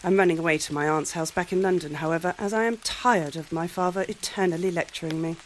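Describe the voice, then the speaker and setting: A woman plays a bold, well-off lady of about twenty-five in an audio drama. Her voice is on the low side, with forthright, formal speech and faint rain behind. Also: fast, close to an everyday voice, rough recording. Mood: forthright, self-assured. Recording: light rain noise behind.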